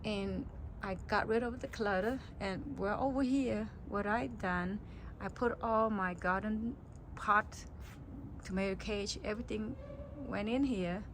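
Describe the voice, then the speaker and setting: A middle-aged woman from Cambodia giving a relaxed, chatty tour of her backyard garden, outdoors on a phone mic. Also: mid-range voice, measured pace, a little hiss or hum, low-quality recording. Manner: relaxed, chatty, simple phrasing. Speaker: gardening vlogger.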